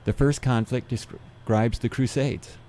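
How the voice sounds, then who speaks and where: A man who gives seminars, guesting on a radio interview, explaining things in a steady, methodical way. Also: mid-range voice, a little slow. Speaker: seminar speaker.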